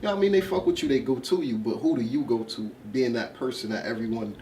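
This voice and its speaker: A man's voice: mid-range voice, quick pace, darkish tone, slight background noise. A young man from Brooklyn, telling stories and joking as an interview guest. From Brooklyn, New York. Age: young adult. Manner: storytelling, joking, street slang. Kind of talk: casual chat. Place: indoor studio with backdrop. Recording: distant from the mic.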